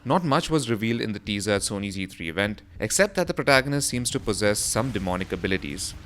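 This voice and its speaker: A man's voice: on the low side, lively intonation, quick pace, fairly bright tone, a little hiss or hum. A man reading a scripted voice-over for a gaming news roundup, in the role of presenter. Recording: music behind the voice. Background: background music.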